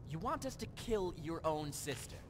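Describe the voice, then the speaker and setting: An actor playing an indignant wood elf bard in a video game, expressive and protesting. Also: male voice, a little high, moderate pace, background noise. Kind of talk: video game mod voice acting.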